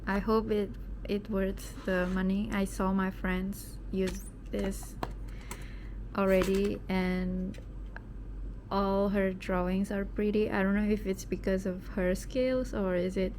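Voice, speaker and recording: Young indonesian woman, slight british tinge, talks slow and kinda monotone, lots of hiss behind her. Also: mid-range voice. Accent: Indonesian, with some British English. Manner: chatty, rambling, self-deprecating, sometimes drops to a whisper. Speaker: vlogger. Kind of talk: casual unboxing chat. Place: home at night.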